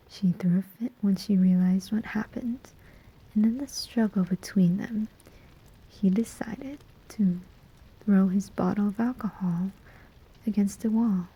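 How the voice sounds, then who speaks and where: A sly female demon, acted by a woman in a whispered ASMR roleplay. Her voice is very soft, dark and heavily breathy, and she takes her time, taunting with quiet menace and deliberate, teasing phrasing. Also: medium pitch, rough recording. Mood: mock sympathy, sly.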